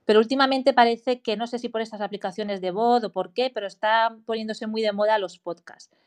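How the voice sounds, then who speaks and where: A woman's voice: a little high, steady tone, brisk. A woman hosting a live audio chat room, introducing her guest and inviting listeners, with many restarts and repeated words.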